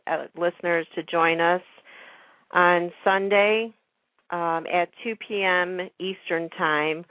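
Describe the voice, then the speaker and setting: A woman's voice: on the low side, dry recording. A woman hosting a casual talk radio show, chatting informally. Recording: narrow phone-like internet radio call.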